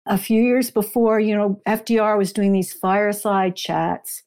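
Slow-paced woman explaining as interview guest. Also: mid-range voice, steady tone, darkish tone, smooth. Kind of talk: conversational explanation and storytelling.

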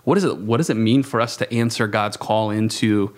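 A man in his thirties talking reflectively in a panel discussion, with halting phrasing and self-corrections. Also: lowish voice. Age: 30s. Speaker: youth ministry worker.

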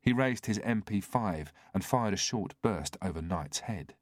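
A man's voice: fairly low pitch, expressive, measured pace, no room sound, clean studio sound. A man reading a scripted thriller as an audiobook narrator.

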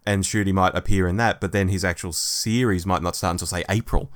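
A male podcast co-host with a fairly low voice. He chats in an informal, conversational way, as one fan talking to another. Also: fairly even intonation, a little fast. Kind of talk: casual fan discussion.

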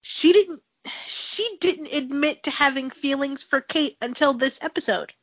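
A woman's voice: high-pitched, measured pace, a bit bright, dry recording. A woman co-hosting a podcast in casual chat, sounding nasal from a cold and eager to argue. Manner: chatty, argumentative. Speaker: podcast co-host. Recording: dry, dull lo-fi sound.

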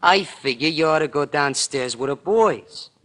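An actor playing a menacing young gangster in a 1940s radio crime drama, talking in tough, sneering slang. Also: male voice, fairly high pitch, expressive, moderate pace, a bit bright. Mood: cold menace.

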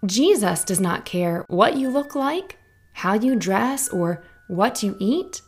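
A woman hosting a children's Bible story podcast, narrating a scripted story in a gentle, clear, unhurried way. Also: medium pitch, expressive.

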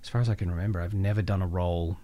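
A man with an Australian accent talking casually as an interview guest, haltingly, as if thinking aloud. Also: low voice, fairly even intonation, warm tone, muffled recording. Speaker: voice actor, podcast guest.

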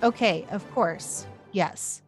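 A woman's voice: medium pitch, takes it slowly, soft background music, natural voice. A woman voicing a caring, uncertain young mother in a storybook. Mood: instructing.

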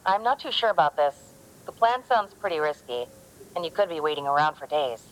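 An actress playing a hero's worried, sardonic tech ally, brisk, skeptical and wry, in an audio drama. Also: female voice, sits low, animated, slight background noise, low-quality recording. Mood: worried, exasperated.

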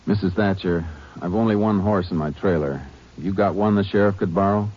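A man's voice: low voice, close to an everyday voice. A man playing a stern, terse Texas Ranger in an old radio drama. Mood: firm. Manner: terse, firm, measured. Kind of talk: 1950s radio acting. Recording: dull old radio recording with hiss.

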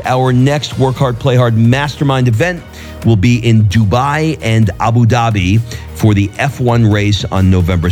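A man hosting his own podcast and coaching show, reading upbeat promotions and then asking questions in a softer, measured way. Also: mid-range voice. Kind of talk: scripted show intro, then interview questions.

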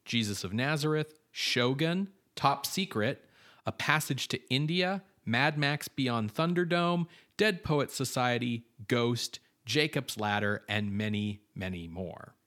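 A man's voice: medium pitch, expressive, takes it slowly, a bit bright. A man co-hosting a film podcast, reading background notes on cast and crew in an informative way, with casual fillers and asides. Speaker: podcast co-host.

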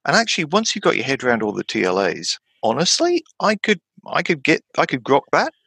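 An excitable man talks in gravelly, fry-edged bursts, often stumbling over his words and jumping up in pitch when he gets keen. The sound is close and dry, with no room around it. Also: fairly quick, no room sound. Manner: enthusiastic, rambling, many restarts and rising bursts of pitch. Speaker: podcast guest. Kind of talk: enthusiastic podcast chat about tabletop games.

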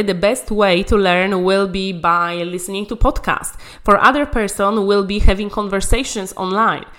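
A woman's voice: medium pitch, a bit bright. Emphatic woman coaching. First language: Polish. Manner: emphatic, encouraging, repeats key phrases. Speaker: English conversation coach. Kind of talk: motivational language-learning talk.

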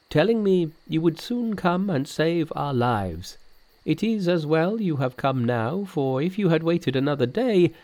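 Guy doing an old priest character, high voice swooping about, humble and awed, storybook style. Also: a little mellow. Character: reverent, grateful old male temple priest.

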